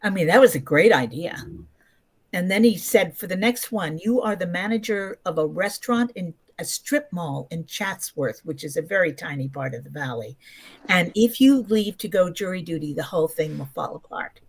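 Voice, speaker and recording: A woman's voice: on the low side, animated, normal speed, breathy edge. A woman in her seventies telling stories casually as an interview guest, emphatic and often acting out what people said. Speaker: podcast guest, actress.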